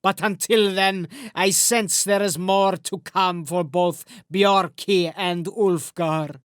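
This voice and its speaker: A man playing a grave, weary old Norse warrior in an audiobook, speaking in solemn, measured, formal phrases. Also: high voice, fairly even intonation, fairly bright tone, clean tone, dry recording, studio quality. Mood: weary, resigned. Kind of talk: audiobook character acting.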